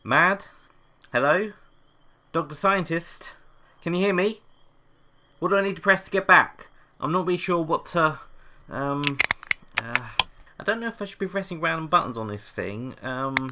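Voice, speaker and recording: A man's voice: on the high side, expressive, moderate pace, pauses often, sharp tone. Man as a nervy, exasperated young intern. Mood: anxious, pleading. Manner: nervous, hesitant, self-talking. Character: anxious, exasperated young male intern, lost and sarcastic. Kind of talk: scripted audio comedy drama.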